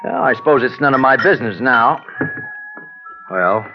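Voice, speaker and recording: An actor playing a smooth-talking, scheming husband in a 1940s radio drama, casual and placating. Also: male voice, mid-range voice, animated, normal speed, close to an everyday voice. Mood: falsely casual. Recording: muffled old radio recording, music behind.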